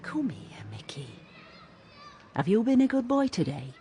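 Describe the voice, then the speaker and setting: A woman's voice: fairly high pitch, very animated, a little slow, dark tone, a little hiss or hum. An actress playing an anxious, doting and scolding mother in a radio drama. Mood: scolding, coaxing.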